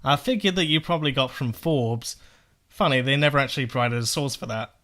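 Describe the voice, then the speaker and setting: A man's voice: medium pitch, fairly quick, a bit bright. A young man giving sarcastic, profane political commentary, firing off rhetorical questions as he rebuts clips. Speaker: political video essayist.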